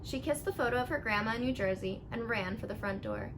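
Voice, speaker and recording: A woman reading a children's picture book aloud in an expressive storytelling style. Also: fairly high pitch, fast talker. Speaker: storybook reader. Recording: clearly noisy recording with background ambience.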